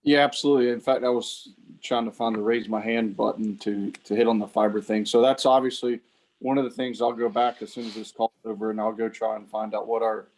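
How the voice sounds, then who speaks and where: A man with a fairly low voice answers eagerly and upbeat. He represents a telecom company and joins over a video call. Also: fairly even intonation, brisk, a little mellow, poor audio. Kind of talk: casual meeting talk.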